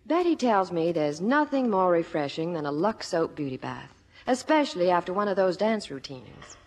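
A woman's voice: on the low side, very animated, normal speed, a little mellow. A woman working as a Hollywood reporter, delivering scripted promotional chat on 1950s radio. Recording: echoey theater, vintage radio broadcast.

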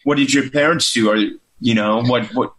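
A man's voice: mid-range voice, normal speed, a bit bright, poor audio. A man hosting a casual interview, enthusiastic and slangy, calling his guest dude and man. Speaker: podcast host.

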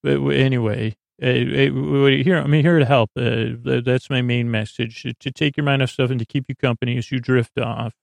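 A man's voice: mid-range voice, fairly even intonation, moderate pace, vocal fry, few pauses, no room sound. A man hosting a bedtime podcast in a goofy, meandering monologue, stammering and often losing his thread. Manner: goofy, silly. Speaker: podcast host.

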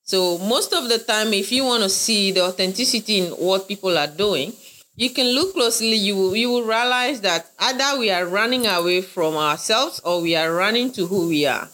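Woman from Ghana, interview guest, earnest and bright, circles back on her points. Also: mid-range voice, normal speed, low-quality recording. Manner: earnest, repeats phrases, laughs often. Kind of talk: interview conversation.

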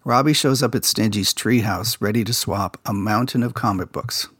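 Man, low voice, husky, dry close mic, podcast host, casual recap, behind-the-scenes storytelling. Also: normal speed, no room sound. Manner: relaxed insider storytelling, recounting production details. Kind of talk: casual episode recap commentary.

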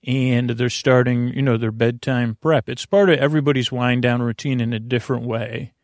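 A man hosting a bedtime podcast in a rambling, halting monologue, circling back and losing his thread, with a creaky, gravelly voice. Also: mid-range voice, steady tone, darkish tone, dry recording, clean studio sound. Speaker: podcast host. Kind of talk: rambling bedtime monologue to help listeners sleep.

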